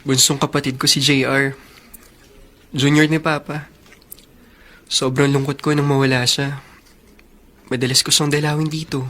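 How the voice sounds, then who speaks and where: A man's voice: medium pitch, level tone, normal speed, pauses often, fairly bright tone, natural voice. A man with a Filipino accent playing a playful, affectionate young big brother in a radio drama, in a boyish manner. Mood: fond, wistful.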